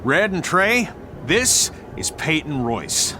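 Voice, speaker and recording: A man's voice: fairly high pitch, big pitch swings, slow pace, noisy background. A man playing a dry, teasing wrestling trainer in a video game cutscene. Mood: matter-of-fact.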